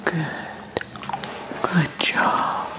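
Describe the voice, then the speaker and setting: Casting: woman as doting alien housewife girlfriend, 1950s style, babying and coaxing, whispered at a very slow pace for ASMR roleplay.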